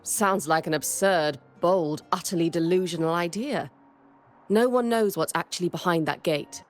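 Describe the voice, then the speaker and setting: A woman's voice: fairly low pitch, moderate pace, faint music behind, lightly acted. A woman playing a stern, dry, world-weary former teacher in a game, speaking in a composed, matter-of-fact way. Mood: skeptical. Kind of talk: scripted game dialogue.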